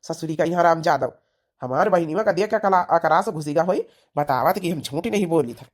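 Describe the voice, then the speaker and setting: A woman's voice: sits low, lively intonation, brisk, darkish tone. A woman with an Awadhi accent voicing a bossy, dismissive young husband in a comedy cartoon, scolding and giving orders. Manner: scolding, commanding. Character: bossy, dismissive young husband who sides with his sister.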